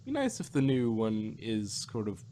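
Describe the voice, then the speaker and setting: A man chatting casually as a podcast host, rambling and halting, often repeating himself as he gives his opinions. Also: lowish voice, huge pitch swings, warm tone, a little hiss or hum. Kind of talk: casual opinionated fan chat.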